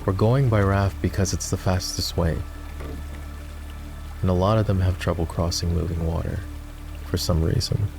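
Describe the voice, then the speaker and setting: Man playing a deep, gravelly, sardonic knight. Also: fairly even intonation, moderate pace, pauses often, music in the background. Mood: wary, instructing. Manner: sardonic, teasing, commanding. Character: gruff, sardonic fantasy knight, grudgingly escorting a princess. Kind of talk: roleplay ASMR voice acting.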